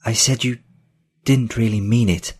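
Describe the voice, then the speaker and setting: A man's voice: mid-range voice, steady tone, measured pace, a little mellow, slightly rough, voice pushed up. A man voicing an earnest woman in an audiobook, pleading and anxious to explain herself. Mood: defensive, anxious.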